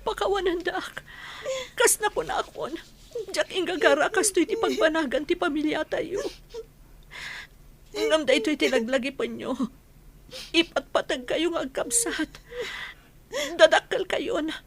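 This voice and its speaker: A woman's voice: sits high, lively intonation, a little slow, long pauses, slight background noise. A woman playing a remorseful, tearful mother pleading with her children in an Ilocano radio drama.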